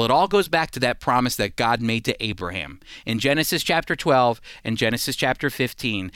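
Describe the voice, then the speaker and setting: A man's voice: medium pitch, fairly bright tone, smooth, no room sound, studio quality. A man teaching the Bible on a radio program, emphatic, asking his listeners rhetorical questions.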